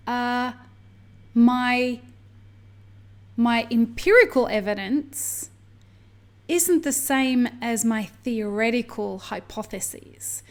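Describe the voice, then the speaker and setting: A woman's voice: on the high side, darkish tone. An Australian woman hosting a podcast, talking candidly and reflectively on her own, rambling a little and swearing now and then. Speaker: podcast host. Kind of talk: solo podcast monologue.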